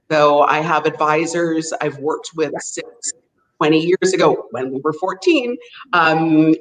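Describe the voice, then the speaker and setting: A woman in her forties with a Canadian accent, talking slowly and in a lowish voice. She rambles warmly through her story on a video call, dropping plenty of fillers as she goes. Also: poor audio. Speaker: guest, hotel sales representative. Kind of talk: casual interview chat.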